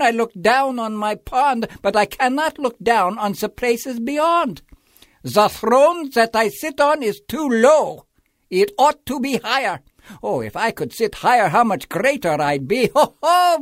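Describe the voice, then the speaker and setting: A puffed-up male turtle king barks orders and gloats from his throne, as a storyteller puts him on, pitched well above the reader's own voice and pushed loud. Every boast is drawn out and swings wildly up and down. The sound is dry and close. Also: expressive, dry recording, lightly acted. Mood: boastful. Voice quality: bellowing, haughty, gloating. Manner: drawn-out shouted boasts, gleeful laughs. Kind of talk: storybook read-aloud.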